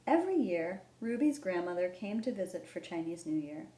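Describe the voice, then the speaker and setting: A woman hosting a children's storytime, explaining things simply and gently and reading a picture book aloud. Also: on the low side, very animated, brisk. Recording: roomy echo, background noise.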